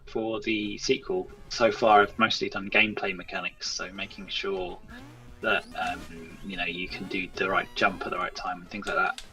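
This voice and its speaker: Bright-voiced man, guest game developer. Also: low voice, flat delivery, moderate pace, faint music behind, rough recording. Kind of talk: casual interview chat.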